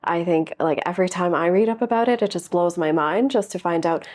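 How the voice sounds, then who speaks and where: A woman's voice: lowish voice, fairly quick, a little mellow. A woman in her early thirties answering interview questions in a thoughtful, earnest way, often using filler words. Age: early 30s. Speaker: interview guest speaker. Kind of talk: reflective conversational answers.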